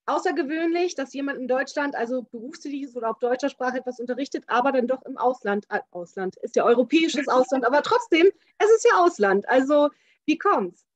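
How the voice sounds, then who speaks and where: A woman's voice: high voice, expressive, a little fast, no room sound. A woman in her thirties hosting a casual video-call interview, chatty and enthusiastic with many fillers. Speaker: interview host. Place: small home room with shelves. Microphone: webcam on a video call. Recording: dull, dry video-call audio.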